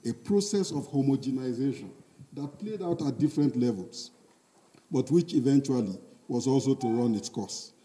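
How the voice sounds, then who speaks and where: A man from northern Nigeria with a Nigerian accent, giving a measured, emphatic public lecture. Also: mid-range voice, warm tone, recorded from a distance, rough recording. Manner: measured, emphatic, quotes others in voices. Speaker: guest lecturer.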